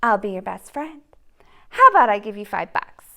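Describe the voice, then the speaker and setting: A woman's voice: medium pitch, sing-song, moderate pace, creaky, bright, forceful tone, exaggerated voice. A woman voicing a childlike, wheedling pigeon from a storybook, begging and bargaining with a tantrum streak. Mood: excited, pleading.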